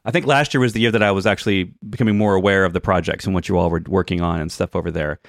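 A man's voice: low-pitched, steady tone, very fast, no echo, clean studio sound. A man hosting a tech podcast, explaining things in a casual, conversational way. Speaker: podcast host.

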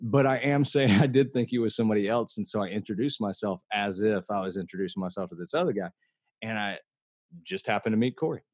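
A podcast host, a man in his late thirties with an American accent, talks in a low voice with a dry, close sound. His delivery is earnest and conversational, like a casual catch-up with an old friend. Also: fast talker, darkish tone, slightly rough, no room sound. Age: late 30s. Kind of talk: casual heartfelt chat.

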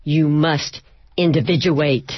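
A woman's voice: low-pitched, fairly even intonation, slow pace, husky, no echo, dull audio, exaggerated voice. A woman voicing a stern, pompous male psychoanalyst who scolds and dismisses in a clipped manner. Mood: scolding, commanding.

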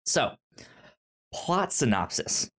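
A man hosting a casual book discussion, halting and rambling, with a stutter that repeats sounds and a self-deprecating manner. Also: mid-range voice, very animated, a bit bright, low-quality recording. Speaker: podcast host.

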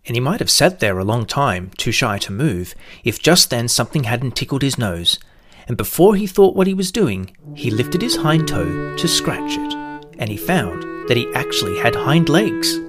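A man reading a children's storybook aloud in a calm, measured, gentle way. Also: mid-range voice, soft background music. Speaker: narrator. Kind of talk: scripted storybook narration.

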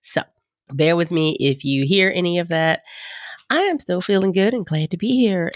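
A woman's voice: lowish voice, animated, moderate pace, no echo, studio quality. Congested, nasal woman podcast host. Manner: chatty, rambling, folksy, addresses listeners as y'all. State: congested, nasal from sinus infection. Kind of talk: casual podcast intro chat.

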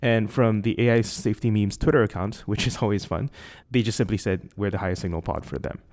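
A man with a Singaporean accent hosting a tech podcast, reading a measured, articulate prepared introduction. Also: a little mellow. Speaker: podcast host. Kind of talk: scripted intro then casual interview. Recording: lower quality recording outside a studio.

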